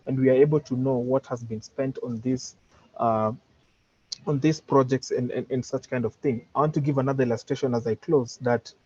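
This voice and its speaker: A man with a Kenyan accent and a slightly husky voice, giving his opinion in an online seminar in deliberate, formal wording with examples and repeated words. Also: mid-range voice, steady tone, a little mellow, dry recording. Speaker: participant commenting in an online training session. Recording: dull, lo-fi online call audio.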